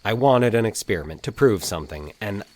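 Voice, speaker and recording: A man playing an uneasy grown man talking to himself in an audio story, in a halting, awed mutter. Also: on the low side, lively intonation, darkish tone, no echo, lightly acted. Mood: frustrated, bewildered. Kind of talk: dramatized audio fiction dialogue.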